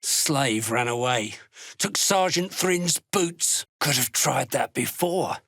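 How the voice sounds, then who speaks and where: An actor voicing a gruff, callous duergar slave hunter in a video game, blunt and threatening, with a gravelly voice. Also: male voice, mid-range voice, animated, slow, fairly bright tone, dry recording, clean studio sound, exaggerated voice. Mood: gruff, sardonic. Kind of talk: video game character voice lines.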